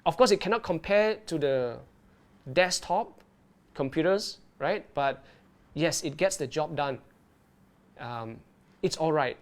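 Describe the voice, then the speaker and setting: A man presenting live to online viewers, his voice sitting a little higher than most men's. He explains things in a lively, chatty way and keeps checking in with his viewers as he goes. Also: slow, long pauses. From Malaysia. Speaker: underwater video presenter. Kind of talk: live-streamed tutorial and Q&A.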